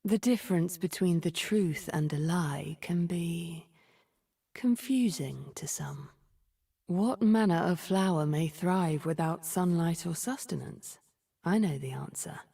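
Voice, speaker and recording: An actress voicing a cunning, imperious sorceress in a video game, cold and vengeful, with a breathy, measured, haughty delivery. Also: female voice, fairly low pitch, dark tone, heavily acted. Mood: sly, brooding. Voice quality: breathy, often near a whisper. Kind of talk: video game character voice lines.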